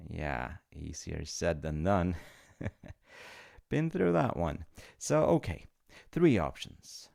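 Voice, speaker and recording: A man playing a chummy, rule-bending supernatural agent of reincarnation in a comedic audio roleplay, with a creaky voice and informal, hesitant salesman-like patter. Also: rumbling low, a little mellow, full character voice.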